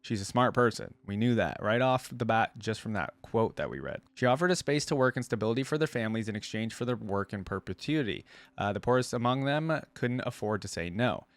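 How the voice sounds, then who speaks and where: A man's voice: fairly low pitch, animated, fairly quick, dry recording. A man hosting a reaction video, reading lore aloud and musing over it in a casual, conversational way.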